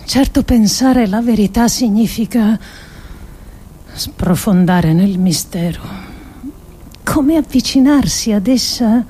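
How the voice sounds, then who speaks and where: Higher-pitched woman reading a radio monologue. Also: lively intonation, slow pace, long pauses, dark tone, husky. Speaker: actress reading an adapted essay. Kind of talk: dramatic scripted radio monologue.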